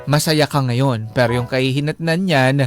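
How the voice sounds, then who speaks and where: A man with a Filipino accent co-hosting a radio show, chatting casually and reading out comments in an expressive, teasing way. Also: medium pitch, normal speed, faint music behind.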